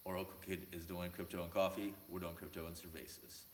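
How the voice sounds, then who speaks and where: A man's voice: low voice, level tone, a little fast, reverberant, slight background noise. A man hosting a casual crypto livestream, loose and joking, greeting chat viewers by name while talking into a laptop mic. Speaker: livestream host. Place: indoor room, laptop mic. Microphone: laptop mic, no headphones.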